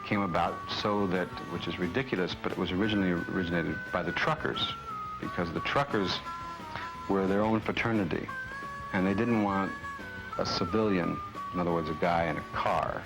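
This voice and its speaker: A man's voice: low voice, a little fast, over music, poor audio. A man giving casual, anecdotal commentary as an interviewee.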